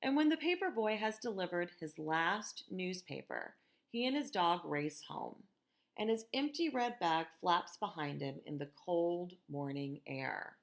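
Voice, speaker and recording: An American woman reading a picture book aloud to children in a gentle, expressive teacher's manner, with conversational asides. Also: lowish voice, measured pace, creaky. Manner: gentle, expressive, asks listeners questions.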